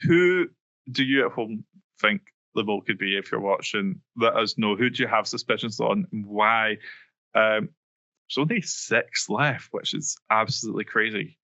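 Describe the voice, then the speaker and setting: A man co-hosting a podcast, chatting casually and quickly with lots of ums and side remarks. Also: on the low side, sharp tone, no room sound, highs cut off. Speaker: podcast co-host. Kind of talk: casual chat about a reality show.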